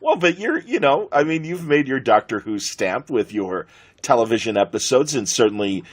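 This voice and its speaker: A middle-aged man with an American accent and a husky voice, chatting conversationally as a podcast host. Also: mid-range voice, big pitch swings, normal speed. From United States.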